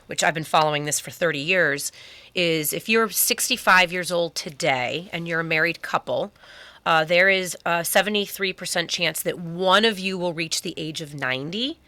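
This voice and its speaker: An American woman gives expert answers in a conversational interview while getting over a sickness. Her voice is slightly low and bright, with a close, dry studio sound. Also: normal speed, dry recording. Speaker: guest wealth advisor.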